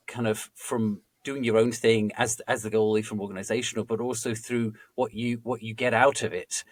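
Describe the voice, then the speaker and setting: A man hosting a podcast interview, speaking haltingly with many restarts. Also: medium pitch, no room sound. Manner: hesitant, many restarts and fillers. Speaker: podcast host, recruiter.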